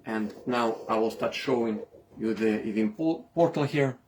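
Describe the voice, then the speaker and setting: A man's voice: on the low side, moderate pace, noisy background. Man from Ukraine presenting a data seminar. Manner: hesitant, many fillers and restarts. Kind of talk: informal online seminar talk.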